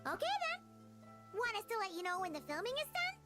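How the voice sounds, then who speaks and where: Squeaky, very high little fairy. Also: female voice, big pitch swings, fast, sharp tone, faint music behind, exaggerated voice. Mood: friendly concern. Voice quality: squeaky, childlike. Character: tiny childlike fairy companion, friendly and caring. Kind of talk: scripted video game voice acting.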